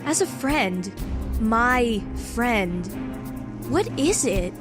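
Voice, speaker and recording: An earnest, probing young woman intern played by an actress in a comic-book audio drama. Her voice is fairly high and conversational, with a brave streak. Soft music sits underneath. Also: lively intonation, extremely slow. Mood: pleading.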